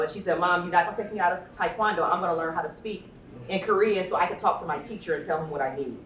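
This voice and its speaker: A woman with a slightly low voice hosts a live panel in a chatty, effusive way. The room is echoey and she sounds distant from the mic, speaking personally and informally. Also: a bit bright, a little hiss or hum. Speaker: panel moderator and event host. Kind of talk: informal spoken panel introduction. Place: indoor event venue, amplified panel talk.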